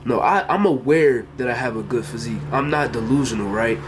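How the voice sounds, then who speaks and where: An American teenage guy talks casually to his camera in a medium-pitched voice. He is earnest and rambles, often repeating himself as he goes, like a young fitness vlogger at home. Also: fairly quick, noisy background. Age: teenager, under 18. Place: bedroom at home, indoors.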